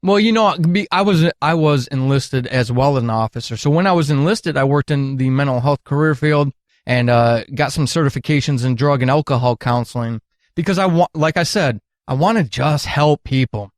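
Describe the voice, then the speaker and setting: A man's voice: medium pitch, moderate pace, dry recording, clean studio sound. A middle-aged man telling candid, earnest stories at length as an interview guest, with emphatic phrasing. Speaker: podcast guest, retired military counselor.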